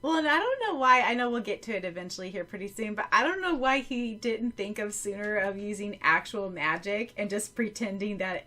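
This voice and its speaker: An American woman chats casually as a podcast co-host. Her voice is bright and crisp-edged at a medium pitch, and she sounds agreeable and earnest. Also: lively intonation, brisk, few pauses. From United States. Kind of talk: casual chat.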